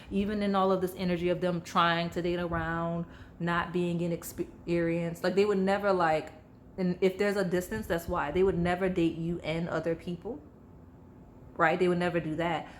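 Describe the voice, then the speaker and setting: A woman giving a casual tarot reading to camera, chatty and rambling, with her voice going slightly hoarse. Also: on the low side, a little hiss or hum. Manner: chatty, rambling, heavy use of "like", addresses viewers directly. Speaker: tarot reader.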